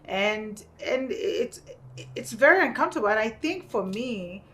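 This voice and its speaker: A woman's voice: fairly high pitch, measured pace. A woman in her thirties from Kenya, with a Kenyan accent mixed with English, hosting a casual podcast chat and telling stories full of "like" and "you know". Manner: animated storytelling, frequent "like" and "you know". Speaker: podcast host.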